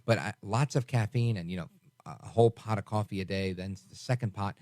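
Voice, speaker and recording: A man's voice: fairly low pitch, brisk, darkish tone, dry recording, studio quality. A man in his forties hosting late-night talk radio, chatting casually with many restarts. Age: 40s. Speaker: radio talk show host.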